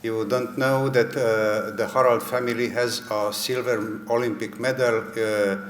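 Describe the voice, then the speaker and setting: Slow, halting older man with a Hungarian accent. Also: medium pitch, fairly even intonation. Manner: slow and halting, many pauses and fillers. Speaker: invited speaker. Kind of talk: conference lecture opening. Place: conference hall. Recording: echoey hall with faint noise.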